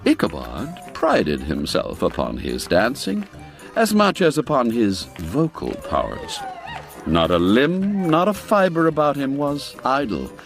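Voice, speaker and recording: A man narrating a classic literary tale as a storyteller, theatrical and expressive, savoring each phrase with deliberate care. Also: medium pitch.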